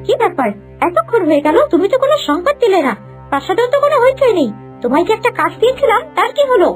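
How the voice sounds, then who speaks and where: A woman's voice: very high-pitched, big pitch swings, fast, faint music behind. A woman voicing a haughty, deceitful young palace queen in a melodramatic cartoon. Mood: menacing. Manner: imperious, stammers when cornered.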